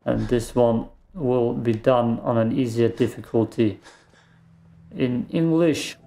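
A man's voice: medium pitch, steady tone, slow, vocal fry, warm tone, slight background noise. German-native man rambling over gameplay. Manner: rambling, self-deprecating, reacts aloud to the game. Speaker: let's play gamer. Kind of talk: casual gameplay commentary. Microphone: desk microphone.

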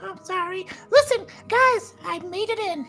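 An eager little schoolboy, with a very high, childlike voice. He rambles and pleads apologetically, in cartoon comedy style, over some music. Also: measured pace, fairly bright tone, exaggerated voice. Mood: apologetic. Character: small, eager, apologetic schoolboy, the principal's son.

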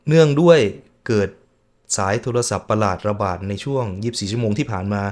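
A man's voice: lowish voice, animated, fairly quick, a little mellow. Man muttering through a reaction video. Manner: muttering asides, reads on-screen text aloud. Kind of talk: casual reaction commentary.

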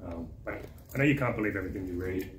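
A man's voice: sits low, very fast. A man casually putting a point to a guest at a live question session. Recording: echoey room, distant, faint noise.

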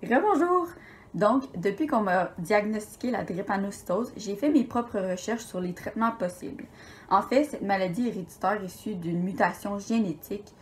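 A woman's voice: mid-range voice, slight background noise. A young woman student giving a science fair presentation at a webcam in a room at home, earnest, with some fillers. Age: young adult. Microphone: webcam close up.

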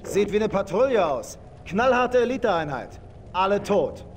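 A man playing a gruff, bossy veteran Marine sergeant in a video game, barking orders with a commanding manner. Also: high-pitched, big pitch swings, measured pace, a little hiss or hum. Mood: tense. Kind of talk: dubbed video game cutscene acting.

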